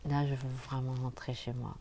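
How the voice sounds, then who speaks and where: A woman hosting a spiritual channel, talking casually and directly to the viewer in a soft, halting way with frequent hesitations. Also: rumbling low, level tone, gravelly, a little hiss or hum. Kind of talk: casual spoken vlog monologue.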